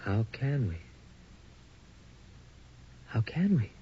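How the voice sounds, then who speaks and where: A man's voice: medium pitch, sing-song, very slow, slight background noise. A young actor playing an uneasy male college student who stammers and grows frantic in a radio drama. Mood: frightened. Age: young adult. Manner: hesitant, stammering, growing frantic. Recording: dull old radio broadcast.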